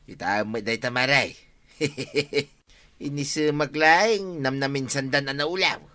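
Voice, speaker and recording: A man's voice: mid-range voice, slow, bright, forceful tone. A man playing a put-upon village husband, grumbling and joking in a colloquial way, in an audio drama with an Ilocano accent. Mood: reluctant, grumbling. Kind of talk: Filipino audio drama acting.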